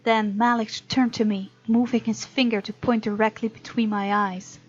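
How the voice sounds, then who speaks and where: A woman's voice: on the high side, little pitch movement, normal speed, muffled recording. A woman let's-play host reading visual novel narration aloud expressively, with informal asides. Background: faint game music.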